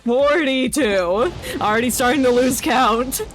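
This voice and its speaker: Slow-paced, playful woman streaming a game. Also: high voice, soft background music. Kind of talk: casual livestream gameplay chat.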